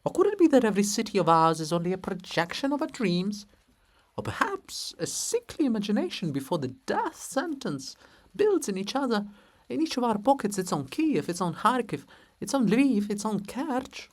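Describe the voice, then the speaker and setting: A man's voice: high-pitched, big pitch swings, moderate pace, voice pushed up. A man voicing a theatrical chorus in a dramatic reading, pleading and questioning insistently. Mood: feverish, insistent. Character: hissing theatrical chorus, feverishly pleading and riddling.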